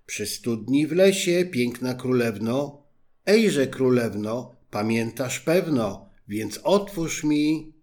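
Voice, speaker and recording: A man's voice: medium pitch, lively intonation, slow pace, a little mellow. A man voicing a persistent female talking frog in a fairy tale, coaxing and pleading.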